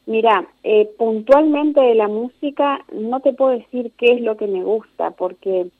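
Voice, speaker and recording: A fifty-year-old woman with an Argentine Spanish accent, answering interview questions reflectively in measured, conversational phrases. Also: a little high, no echo. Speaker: interview guest, singer and singing teacher. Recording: narrow tinny phone-like line.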